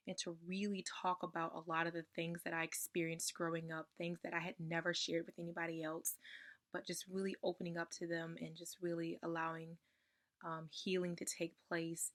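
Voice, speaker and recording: A young woman getting over a cold, her voice slightly raspy, sharing her personal testimony in a soft-spoken way with frequent ums. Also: on the low side. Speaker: ministry member sharing testimony.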